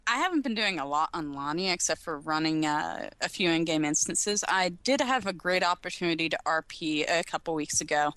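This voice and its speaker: Bright voiced woman casually telling stories on a podcast, dry mic. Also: fairly low pitch, clear, resonant tone, dry recording. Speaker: podcast panelist. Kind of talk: casual chat, telling game anecdotes.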